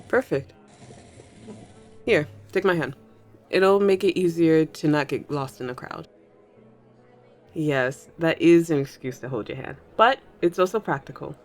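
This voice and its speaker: A woman voicing a flirtatious thunder fae in an intimate audio roleplay, teasing and coy as she addresses the listener. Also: low-pitched. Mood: inviting, teasing. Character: flirtatious thunder fae at a New Year's party, smooth charmer. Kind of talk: ASMR audio roleplay, intimate one-sided dialogue.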